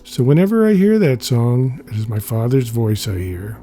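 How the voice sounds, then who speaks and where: A man's voice: medium pitch, very animated, moderate pace, warm tone, slight background noise. A man giving a scripted narration, reading a memoir-style comic aloud.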